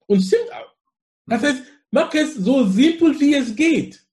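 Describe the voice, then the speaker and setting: A man's voice: very high-pitched, animated, unhurried, darkish tone. A man in his forties from Cameroon with a Cameroonian accent, speaking as an interview guest with emphatic, repetitive enthusiasm. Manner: effusive, emphatic, fond of repeating phrases. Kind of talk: conversational interview.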